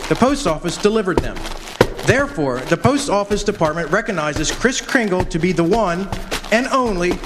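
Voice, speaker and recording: A man's voice: sits high, very animated, normal speed, music in the background. A man playing a confident, friendly lawyer in a stage radio drama, with declamatory delivery. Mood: theatrical, assured. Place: college campus stage performance in a hall. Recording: noisy live stage recording with clicks.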